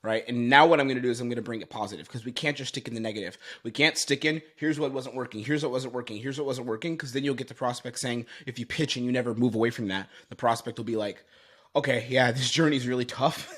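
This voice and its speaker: A man's voice: medium pitch, fast talker, a bit bright. A man giving explanatory commentary as a sales coach, stressing his points emphatically and repeating phrases.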